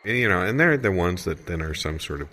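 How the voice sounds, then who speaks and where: Low-voiced man, musing comedy banter. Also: expressive, fast, pushed and bright. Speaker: improv comedy performer. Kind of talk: improvised deadpan group comedy banter.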